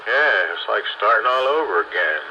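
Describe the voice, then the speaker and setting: A man's voice: fairly low pitch, animated, brisk. American man chatting over noisy muffled radio. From city in the eastern US. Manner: folksy, colloquial, often addressing others as "man". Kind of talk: casual chat, storytelling. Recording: muffled radio link with loud static.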